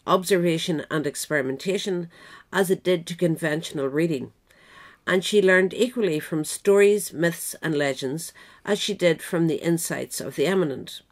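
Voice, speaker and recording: A woman narrator reading a scripted biographical essay aloud. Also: fairly low pitch. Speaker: narrator, reader.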